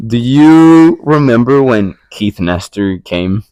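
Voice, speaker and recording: A man's voice: mid-range voice, lively intonation, takes it slowly, warm tone, clear, resonant tone, no echo, poor audio. A young man from Rico, sharing his faith story in a rambling casual chat full of fillers and restarts. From Puerto Rico. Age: young adult. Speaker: podcast co-host.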